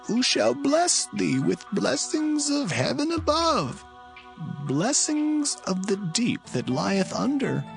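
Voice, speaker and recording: Aged biblical patriarch, gravelly and solemn, swooping declamation for audio scripture drama, music underneath. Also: male voice, high voice, heavily acted. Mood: impassioned. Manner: slow, declamatory. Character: aged dying biblical patriarch, solemnly blessing his sons.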